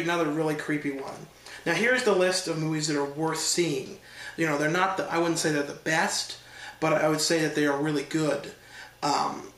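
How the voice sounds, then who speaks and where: A man's voice: fairly high pitch, fairly bright tone, roomy sound. A man with a stuffed-up nose from allergies giving a loose, rambling casual review, with many fillers and restarts. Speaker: horror film reviewer.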